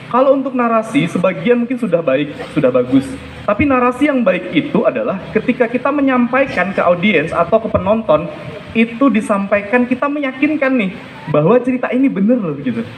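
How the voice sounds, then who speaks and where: A high-voiced man speaks as a storytelling coach. He explains point by point, stressing and repeating what matters, with some background noise around him. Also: very animated, a little fast, poor audio. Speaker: storytelling coach and judge giving feedback. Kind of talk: spoken feedback with demonstrations.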